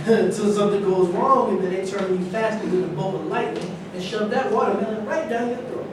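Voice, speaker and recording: A cocky former football player, acted by a man, speaks in a high voice in a stage play. The voice is distant in a very echoey room with background noise. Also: fairly even intonation, fairly quick, lightly acted. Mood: pointed. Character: cocky male former football running back.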